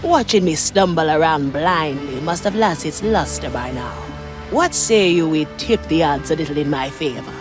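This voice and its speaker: A woman's voice: sits low, animated, moderate pace, over music. An actress playing a wry, daring woman pirate navigator with a cool, confident manner. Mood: sly, confident.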